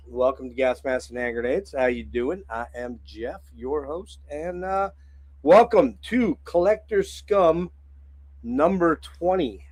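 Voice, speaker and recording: A man hosting a casual livestream in a hoarse, phlegmy voice, rambling with many filler words and frequent throat clearing. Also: mid-range voice, darkish tone, no echo. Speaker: livestream host.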